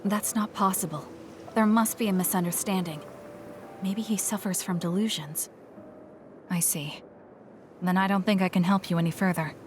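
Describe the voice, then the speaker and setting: A woman's voice: mid-range voice, moderate pace, pauses often, slight background noise, close to an everyday voice. An actress playing a cool, blunt young woman soldier in a video game, with a curt and aloof, dryly probing manner. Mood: cool, dismissive. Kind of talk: English video game voice acting.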